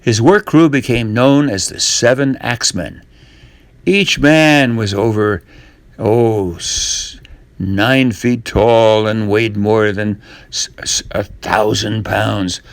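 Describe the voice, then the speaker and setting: An older man reading a storybook tale for children in a deliberate way, with dramatic pauses. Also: fairly low pitch, vocal fry, dry recording.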